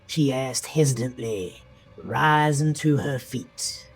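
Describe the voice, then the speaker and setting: Slow, suspenseful male horror narrator over music. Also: mid-range voice, slightly rough. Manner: deliberate, suspenseful storytelling. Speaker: audiobook-style narrator. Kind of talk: dramatic scripted horror narration. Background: music under the voice.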